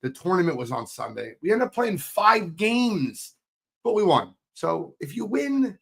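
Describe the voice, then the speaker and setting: A hoarse man on a sports podcast, his pitch leaping around as he talks. He teases his co-host and sounds fired up, in a relaxed chat style. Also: measured pace. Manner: animated, teasing, fired up.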